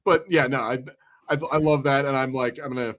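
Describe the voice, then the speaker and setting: Fast-talking man bantering on a podcast. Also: medium pitch, steady tone, fairly bright tone. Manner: blunt, sweary, rambling. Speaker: podcast co-host. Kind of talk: casual film banter.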